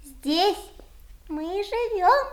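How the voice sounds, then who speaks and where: Squeaky, cheeky tiny house-sprite. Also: female voice, expressive, slow pace, heavily acted. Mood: cheeky, teasing. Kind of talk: children's audio play acting.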